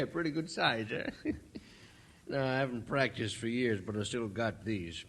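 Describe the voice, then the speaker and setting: An actor playing a blunt, jovial retired country vet with a rural American accent, wisecracking in 1940s radio style. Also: male voice, mid-range voice, a little slow, slightly rough. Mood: boisterous, jovial. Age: older. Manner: wisecracking, folksy, loud.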